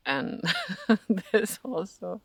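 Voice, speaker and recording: A Finnish-accented speaker, native in Finnish, gives a hesitant, reflective spiritual vlog, often searching for words and laughing softly. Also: medium pitch, animated, extremely slow, vocal fry, no echo, clean studio sound. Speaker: spiritual vlogger. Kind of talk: casual reflective monologue.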